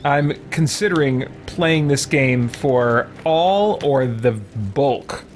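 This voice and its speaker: A man's voice: mid-range voice, expressive, slow, a little hiss or hum. A man giving casual live let's-play commentary, rambling and thinking aloud as a game streamer.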